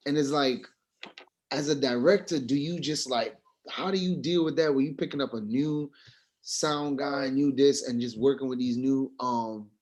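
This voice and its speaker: A man's voice: mid-range voice, normal speed, darkish tone. A man in a casual group discussion, speaking informally and asking questions as a student of film.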